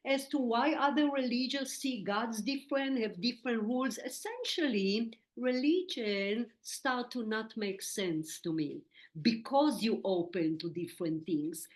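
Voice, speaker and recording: Israeli woman, hebrew native, middle aged, earnest, a bit broken english, on a video call. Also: mid-range voice. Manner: warm and earnest, with halting non-native grammar and "eh" fillers. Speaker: guest, medium and spiritual teacher. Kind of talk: casual interview, telling her story.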